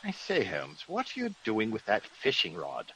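An actor playing a fussy, sceptical British gentleman in a vintage radio drama, genteel, blustery and exclamatory. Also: on the low side, wildly swooping pitch, moderate pace, exaggerated voice. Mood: curious. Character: fussy, excitable, sceptical English gentleman of the manor. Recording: noisy vintage radio recording.

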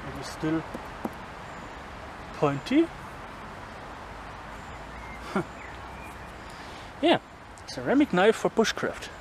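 Slow, lively man filming in a forest. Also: high-pitched. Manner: chatty, chuckles between remarks, slow and thoughtful. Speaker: bushcraft vlogger. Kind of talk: casual demonstration talk. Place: forest outdoors. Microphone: handheld camera mic. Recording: outdoor camera audio with background noise. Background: forest ambience.

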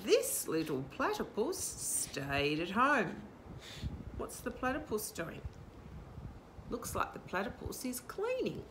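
A woman in her sixties reads to small children outdoors, taking her time. Her delivery is sing-song and very varied in pitch, full of little questions. Faint outdoor noise sits behind her. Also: takes it slowly. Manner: animated, sing-song, asking the listener questions. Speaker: children's storytime host. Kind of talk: reading a picture book aloud to young children. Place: outdoor garden patio. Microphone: camera a short distance away.

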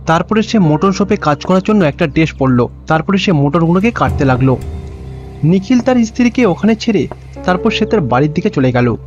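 A man with a high-pitched voice narrates a cartoon story in scripted storybook style. The sound is slightly dull and lo-fi, with music playing behind him. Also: expressive, a little fast, a little mellow. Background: background music.

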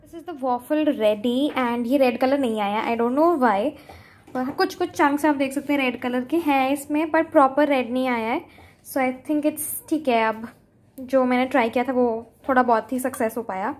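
A woman's voice: high-pitched, moderate pace. A woman in her twenties with an Indian accent, chatting casually through a home cook-along vlog, switching between Hindi and English. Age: 20s. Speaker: home cooking vlogger. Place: indoors at home, small curtained room. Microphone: phone camera a few feet away. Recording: echoey room, background noise, phone camera.

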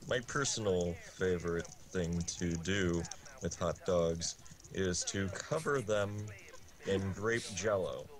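A man reading absurd comic fiction in a deadpan way, drawing out his phrases with long pauses. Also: deep voice, crowd noise. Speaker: guest reader on a game stream. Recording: game music behind the voice. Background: loud video game music.

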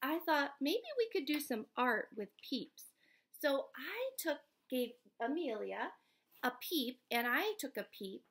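A woman in her thirties or forties reading a picture book aloud to young children, sing-song and expressive, often asking questions. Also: high-pitched, unhurried. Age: 30s or 40s. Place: small indoor room at home.

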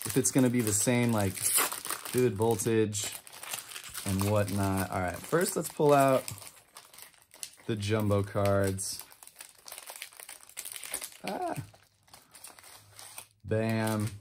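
A man in his twenties with a lowish voice hosts a trading card video. He talks casually and with enthusiasm, commenting in short bursts and little exclamations as he goes. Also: takes it slowly, pauses often, darkish tone, a little hiss or hum. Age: 20s. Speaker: trading card channel host. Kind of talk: casual pack-opening commentary. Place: indoor room with shelves of collectibles.

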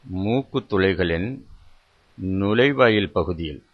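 Male meditation guide: low pitch, very slow measured delivery with repeated phrases, dull lo-fi recording. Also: lively intonation. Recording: dull, muffled lo-fi sound.

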